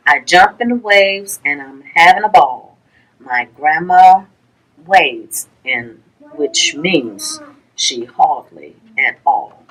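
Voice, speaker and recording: Lady doing library storytime, reading a rhyming kids book real slow and sing-songy, voice a bit on the low side. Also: animated, a bit bright, rough recording. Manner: measured, sing-song rhyming delivery with pauses. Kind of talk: reading a rhyming children's picture book aloud.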